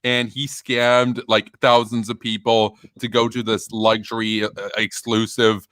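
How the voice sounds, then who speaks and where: A man hosting a casual stream, talking in a rambling, animated way with many fillers and restarts. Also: lowish voice, a little slow, smooth, no echo. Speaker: stream host. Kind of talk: casual chat.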